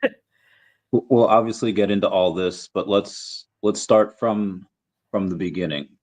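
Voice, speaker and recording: A man from the United States hosting a podcast, asking halting friendly questions. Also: fairly low pitch, darkish tone. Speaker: podcast host. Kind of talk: casual interview chat. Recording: dull lo-fi sound.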